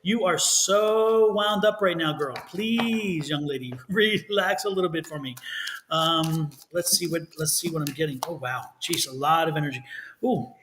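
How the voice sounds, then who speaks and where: A man's voice: on the high side, moderate pace, a bit bright, slight background noise. A man with a Texan accent giving live tarot readings in a folksy, rambling way, often stopping to correct himself. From Texas. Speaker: tarot reader and show host. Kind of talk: live-stream tarot reading, casual chat.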